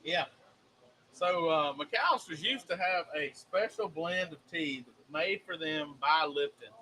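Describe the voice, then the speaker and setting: A man's voice: a little high, a little slow, pushed and bright, slight background noise, poor audio. A man with a Southern US accent, co-hosting a casual chat and telling rambling, folksy stories. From American South. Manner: folksy, drawling, rambling storyteller. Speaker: co-host.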